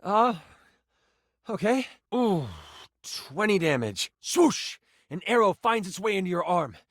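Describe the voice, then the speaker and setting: A man voicing an earnest, excitable game master in a video game, narrating fantasy adventure dramatically with hesitant, casual asides. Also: high voice, takes it slowly, no room sound, clean studio sound, heavily acted. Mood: excited, dramatic. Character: earnest, excitable, slightly flustered male game master character.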